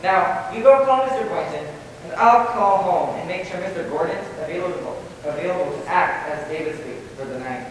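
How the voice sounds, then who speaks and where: A man's voice: expressive, fairly quick, recorded from a distance. A man playing an eager, scheming teenage schoolboy in a radio comedy, with a conspiratorial manner. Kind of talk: 1940s-style radio comedy stage acting. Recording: echoey hall from afar, rumble, faint noise.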